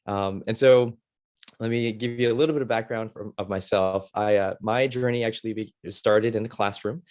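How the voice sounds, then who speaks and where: A middle-aged American man from Ohio giving an informal online presentation as a former teacher, in a casual, halting way with many fillers. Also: on the low side, fairly even intonation, darkish tone. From Ohio, United States. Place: video call.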